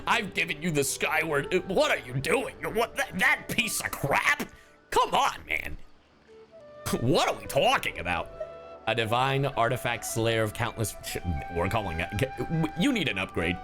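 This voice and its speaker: Young American male streamer: casual reaction commentary, highly animated delivery, medium pitch, slangy with profanity. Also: a little slow, over music.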